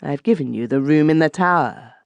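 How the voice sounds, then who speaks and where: A man voicing a grave, ominous mother and hostess with formal, deliberate pronouncements in a ghost story audiobook. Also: a little high, creaky, no echo, highs cut off. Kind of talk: audiobook character quote.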